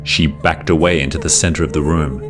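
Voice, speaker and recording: A man narrating a scary folktale from a script in a measured, dramatic storytelling manner with drawn-out phrases. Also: a bass voice, fairly even intonation. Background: music.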